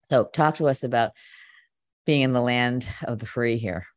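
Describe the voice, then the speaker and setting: A woman's voice: extremely low pitch, normal speed. A woman hosting a conversational interview, curious and prompting her guest with short questions. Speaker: podcast host.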